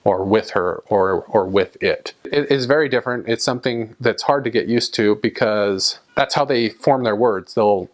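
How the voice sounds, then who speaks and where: A young man with a fairly low voice chats casually to camera in a relaxed, rambling way. He keeps stopping to restart his sentences and drops plenty of "uh"s and "like"s as he goes. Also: moderate pace, hardly any pauses. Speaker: vlogger recounting time abroad.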